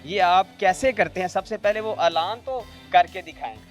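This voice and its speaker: High-voiced Pakistani man reporting. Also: moderate pace, faint music behind. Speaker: reporter interviewer. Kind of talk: on-location news interview. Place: on location at a mosque.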